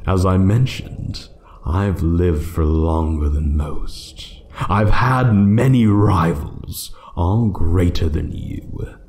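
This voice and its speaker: Ancient male dragon, acted by a man for fantasy ASMR: low, dark voice, condescending menace, toys with his prey. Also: a little hiss or hum, full character voice. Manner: slow, taunting, condescending, dropping into whispers. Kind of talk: one-sided ASMR roleplay acting.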